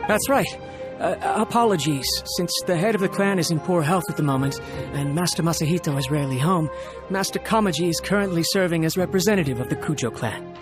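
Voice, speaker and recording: An actor playing a nervous, loyal young retainer in a video game, polite, apologetic and hesitant. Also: male voice, a little high, a little fast, lightly acted. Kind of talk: video game character acting. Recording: music playing behind.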